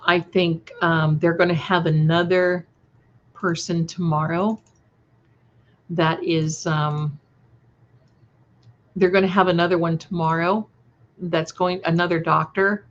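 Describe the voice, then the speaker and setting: Slow-talking, affectionate woman livestreamer. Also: fairly low pitch, steady tone. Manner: affectionate, effusive, addressing listeners with endearments. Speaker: livestream host and creator. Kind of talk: casual livestream chat with viewers. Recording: dull, lo-fi livestream audio.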